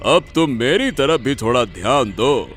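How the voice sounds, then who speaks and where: A man plays a gloating cartoon villain. The delivery is sly and deliberate, slow-paced, with faint music behind it. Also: mid-range voice, very animated, a bit bright. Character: sly, gloating male villain secretly granting dark wishes. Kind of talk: melodramatic Hindi cartoon story acting.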